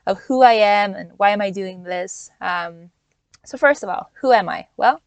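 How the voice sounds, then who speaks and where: A woman in her twenties from Norway hosts her own coaching podcast, speaking straight to the listener. She sounds earnest and encouraging, with the odd restart and small laugh. Her voice sits at an ordinary pitch and is recorded dry, with little room sound, from inside a van. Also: normal speed, no room sound. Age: 20s. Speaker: podcast host and life coach. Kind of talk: solo podcast talk.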